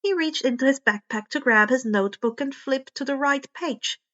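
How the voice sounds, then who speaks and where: A woman reads fan fiction aloud as expressive narration, now and then stumbling over a word. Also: sits high, normal speed, dull audio. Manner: occasional stumbles over words. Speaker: podfic reader.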